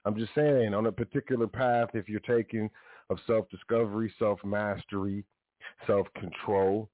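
A man's voice: fairly even intonation, moderate pace, no room sound. A man hosting his own show, talking in a rambling, casual monologue full of restarts and asides. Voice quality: deep voice. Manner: rambling, frequent self-corrections and "you know". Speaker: podcast host. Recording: dry, phone-like narrow sound.

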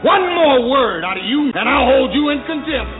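A man's voice: extremely high pitch, lively intonation, normal speed. An actor playing a stern, affronted judge in a film comedy, formal and scolding as his patience runs out. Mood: stern anger. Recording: phone-like clip with music behind.

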